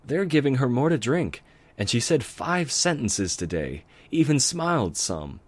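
An eager, bookish young unicorn mare with a bright, kind manner, pitched high and lifted above the reader's deep voice, as a man puts her on. She speaks louder and brighter than the narration, with a gentle warmth. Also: expressive, unhurried. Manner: bright, kind, raised falsetto. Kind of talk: fan story reading.

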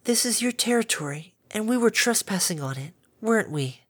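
A woman voicing a timid, gentle female pegasus pony who speaks softly and soothingly. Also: medium pitch, expressive, moderate pace, husky, voice pushed up. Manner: soft, soothing, gently pleading.

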